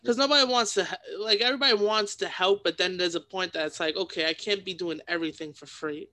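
A man's voice: sits high, quick pace, bright, forceful tone. A young man hosting a casual interview, informal and agreeable, often affirming what his guest says. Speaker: podcast host, interviewer.

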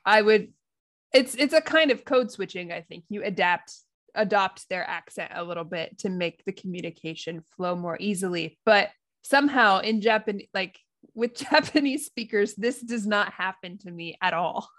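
A woman's voice: mid-range voice, animated, moderate pace, a bit bright. A woman with an American accent co-hosting a casual podcast, thinking aloud and laughing often. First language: English. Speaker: podcast co-host.

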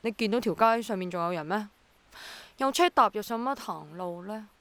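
A woman's voice: medium pitch, lively intonation, moderate pace, a bit bright, dry recording. An actress with a Hong Kong Cantonese accent playing a practical, sceptical and easily puzzled young city woman in a radio drama. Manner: hesitant, questioning, matter-of-fact.

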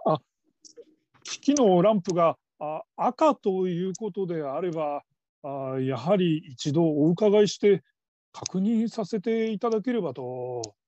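Man acting a hesitant, slow-spoken call-centre father. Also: sits high, lively intonation, dark tone. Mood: flustered. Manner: hesitant, stammering, stiffly formal customer-service politeness. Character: flustered father working as a polite call-centre operator. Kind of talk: radio drama acting. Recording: dull lo-fi audio.

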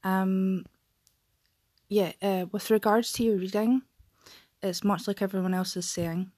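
A woman's voice: mid-range voice, fairly even intonation, unhurried, darkish tone, dry recording, clean studio sound. A woman in her late twenties from Scotland, giving friendly, direct feedback in a voice message. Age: 20s. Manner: friendly, direct, some ums. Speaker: listener leaving a voice message. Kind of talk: casual spoken voice message.

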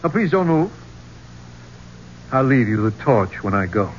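An actor playing a smooth-talking, greedy archeologist turned thief, with persuasive, theatrical delivery in a 1970s radio drama. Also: male voice, medium pitch, sing-song, unhurried. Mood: regretful, determined. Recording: muffled, noisy old radio recording.

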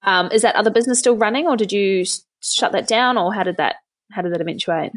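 A woman hosts an interview. Her voice has a middling pitch. She puts her questions in a casual, conversational style. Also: fast. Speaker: interviewer, host.

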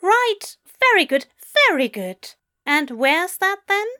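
A woman voicing a polite, bewildered British gentleman in precise, enunciated tones for an audio story. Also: sits high, sing-song, takes it slowly, voice pushed up, exaggerated voice. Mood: polite, pleading. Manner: genteel, over-polite, fussy.

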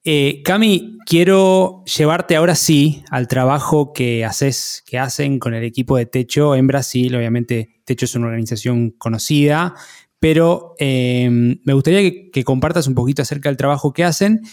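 A podcast interviewer speaks at a medium pitch. The delivery is conversational and informal, feeling its way through each question. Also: normal speed, a little mellow.

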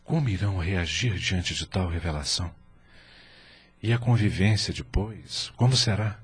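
A man's voice: low voice, moderate pace, breathy edge. An actor playing a remorseful, anxious middle-aged husband and father in a radio soap opera, speaking solemnly with formal diction. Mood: anxious brooding.

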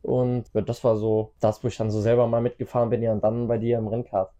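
A man's voice: on the low side, flat delivery, fast, dark tone, muffled recording. A Belgian man as a podcast guest, telling stories in a rambling casual way with many fillers. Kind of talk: casual chat.

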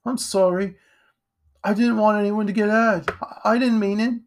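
Storybook street boy, acted by a man: high voice, frightened, remorseful, uneducated speech. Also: fairly even intonation, slow pace, fairly bright tone. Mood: tearful, apologetic. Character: frightened, pleading young boy thief, poor and remorseful. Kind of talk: audiobook character voice.

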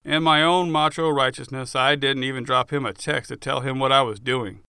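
Casting: male author-narrator for first-person audiobook fiction, forceful and clear, dramatic storyteller. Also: mid-range voice, steady tone, moderate pace, dry recording, studio quality.

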